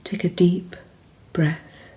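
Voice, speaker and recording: A woman narrating a scripted guided meditation in a calm, measured, soothing way, with phrases that often trail off softly and breathily. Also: poor audio.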